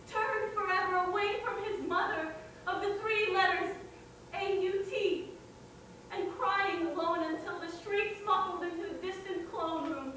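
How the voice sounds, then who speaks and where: An actress performing a theatrical monologue as a traumatized, fragile young woman, intense, halting and repetitive. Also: extremely high pitch, fairly bright tone. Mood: mournful. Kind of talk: theatrical stage monologue at a staged reading. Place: theater stage, picked up from far off. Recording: distant, very echoey stage recording, faint noise.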